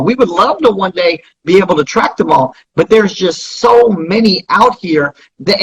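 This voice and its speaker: High-pitched, husky man explaining in interview. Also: low-quality recording. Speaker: interview guest, radio tracking company founder.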